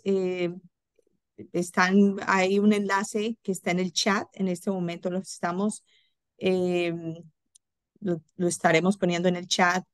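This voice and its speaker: A woman's voice: medium pitch, no echo. A woman moderating an online course session, talking informally with frequent fillers and cueing the next slides. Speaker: webinar moderator.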